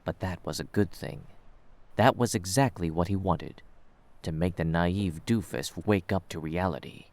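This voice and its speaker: A man with a low voice narrates a piece of fiction. He reads scripted prose as the storyteller of an audio drama. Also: unhurried, a little mellow.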